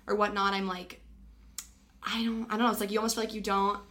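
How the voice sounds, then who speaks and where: A woman's voice: medium pitch, little pitch movement, fast, a bit bright. A young woman hosting a podcast, talking in an earnest, chatty way. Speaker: podcast host. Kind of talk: casual podcast chat.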